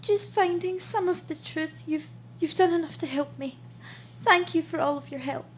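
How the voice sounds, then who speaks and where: A woman's voice: extremely high pitch, moderate pace, a little hiss or hum. An actress playing a bereaved, polite and hesitant woman client in a noir radio play. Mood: sad, grateful.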